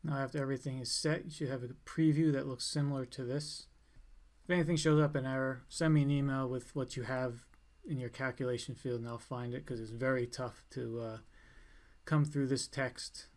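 A man giving an informal step-by-step software tutorial in a plain, instructional way. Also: medium pitch. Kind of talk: step-by-step screencast walkthrough, informal.

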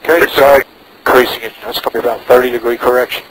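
A man's voice: medium pitch, steady tone, fast. A man working as a pilot, making radio calls and talking himself through checks in short phrases over a headset in a small plane. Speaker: private pilot. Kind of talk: radio calls and cockpit self-briefing. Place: inside a small propeller plane in flight. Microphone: aviation headset. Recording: noisy cockpit intercom with engine drone.

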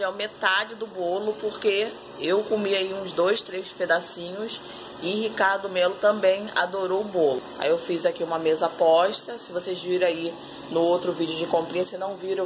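A woman in her thirties from Brazil, chatting through a casual home vlog from her kitchen and dining table, often calling her viewers "family". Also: fairly low pitch. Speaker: family vlogger. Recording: noisy home phone recording.

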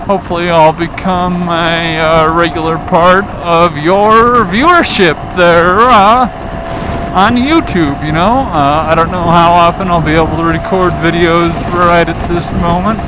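A man with a fairly high voice rambles easily while riding his scooter through town. He talks in a loose, unhurried way, full of "uh" and "you know". Road, wind and engine noise sit clearly under his voice from the helmet-mounted mic. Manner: chatty, laid-back, lots of "uh" and "you know". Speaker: motovlogger. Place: outdoors on a scooter in city traffic.

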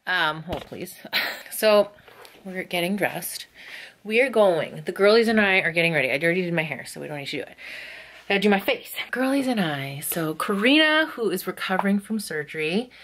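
A woman in her thirties chatting excitedly to camera while doing her makeup in a small bedroom, drifting into soft asides. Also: fairly low pitch, a little fast. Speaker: vlogger. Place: small bedroom at home, indoors. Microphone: camera close in front of her.

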